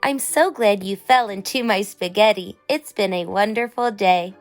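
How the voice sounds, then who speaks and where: A woman's voice: medium pitch, normal speed, faint music behind, lightly acted. A woman voicing a friendly, polite local boy welcoming visitors in a children's storybook. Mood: warm farewell.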